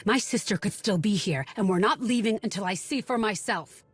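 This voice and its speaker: A woman's voice: mid-range voice, moderate pace, husky, no echo, soft background music, lightly acted. An actress playing a distraught, fiery young woman in a video game cutscene, impassioned and accusing. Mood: accusing, suspicious.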